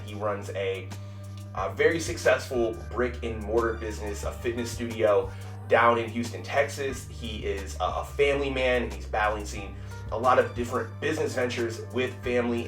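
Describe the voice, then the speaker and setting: A man's voice: on the low side, a bit bright, echoey room, far from the mic. A man hosting a podcast in upbeat, casual chat, often calling his guest "man". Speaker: podcast host.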